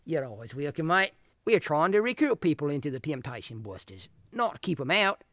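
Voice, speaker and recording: A man's voice: a little high, big pitch swings, fairly quick, a bit bright, dry recording. A man with an Australian accent playing an easygoing, friendly squad leader in an audio drama.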